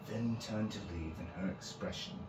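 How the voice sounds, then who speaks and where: A man's voice: low-pitched, moderate pace. A man narrating a fantasy story in a dramatic read-aloud style. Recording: roomy echo, music behind.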